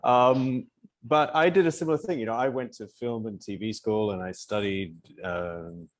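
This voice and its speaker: A man's voice: mid-range voice, normal speed. A middle-aged man from New Zealand on an online panel, telling his career story in a joking, self-deprecating way. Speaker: colorist panelist. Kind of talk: casual panel talk.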